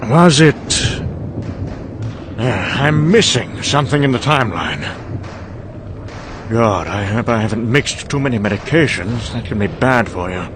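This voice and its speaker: Casting: male actor as vain, unhinged scientist, rambling and excitable, very lively pitch swings, motion-comic drama, noisy background. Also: unhurried, pauses often, lightly acted. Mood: rambling, muddled. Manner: rambling, excitable, self-congratulating. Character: mad, vain, rambling British male scientist narrating doom.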